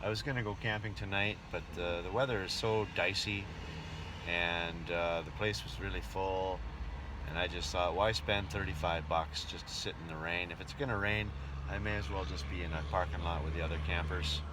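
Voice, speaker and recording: A man's voice: low voice, fairly even intonation, moderate pace. A man who works as a travelling entertainer, chatting casually in a travel vlog. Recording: outdoor ambience and vehicle noise.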